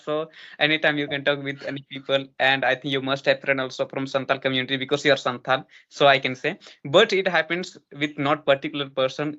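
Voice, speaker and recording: A man's voice: fairly high pitch, pushed and bright, muffled recording. A man, lecturing earnestly in a casual practice conversation, with many restarts and fillers. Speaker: host giving advice.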